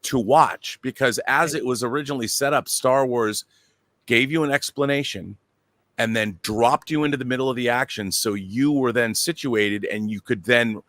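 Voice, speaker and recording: A man's voice: mid-range voice, moderate pace, fairly bright tone, no echo. A man giving emphatic, opinionated panel commentary, repeating himself and asking rhetorical questions. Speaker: show panelist.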